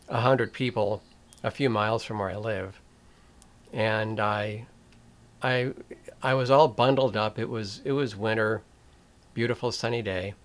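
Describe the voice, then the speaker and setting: Older man, host, slow and deliberate, middle pitch, reflective commentary, wry, pauses and restarts, small room, webcam. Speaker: video essay host.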